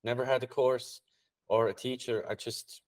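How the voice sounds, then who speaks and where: A man's voice: mid-range voice, little pitch movement, normal speed. A man from Switzerland whose first language is Swiss German, speaking English with a Swiss German accent as a guest on an interview podcast, plain and matter-of-fact. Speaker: trader and guest. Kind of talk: casual interview chat.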